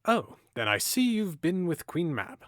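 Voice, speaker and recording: Man doing a witty young noble, mocking, theatrical, very animated pitch, dry close sound. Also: unhurried, dry recording. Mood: playful. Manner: playful, teasing, theatrical, swings from hushed to loud. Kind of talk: audio drama acting.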